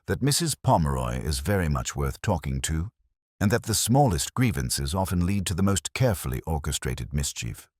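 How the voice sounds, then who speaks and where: A man voicing a mild, courteous police inspector in a mystery story, calm, measured and politely formal. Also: extremely low pitch, slightly rough, no echo, studio quality, full character voice. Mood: calm, thoughtful. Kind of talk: audio mystery story dialogue.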